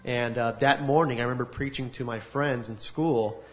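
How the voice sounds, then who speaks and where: A man's voice: medium pitch, steady tone, moderate pace. Earnest man telling his story, lo-fi. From United States. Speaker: speaker giving a testimony. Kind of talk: personal testimony at a faith gathering. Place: large room with an audience. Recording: dull lo-fi recording.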